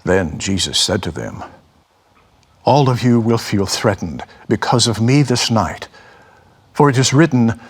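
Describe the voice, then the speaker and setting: A man with a husky voice giving a solemn, expressive dramatic reading of scripture as a voice actor. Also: fairly low pitch.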